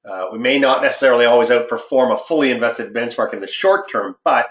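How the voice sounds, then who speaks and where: A man presenting to clients as a financial advisor, speaking haltingly and often repeating words. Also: mid-range voice, lively intonation, fairly bright tone. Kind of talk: informal slide presentation to clients. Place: indoor room on a conference call. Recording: muffled, roomy conference-call audio.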